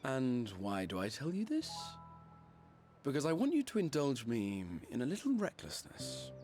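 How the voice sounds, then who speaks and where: Casting: weary noble game hero, mid-pitched, very expressive range, eloquent, music beneath. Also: male voice. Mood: resolved. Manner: formal, eloquent, measured storytelling. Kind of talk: dramatic video game voice acting.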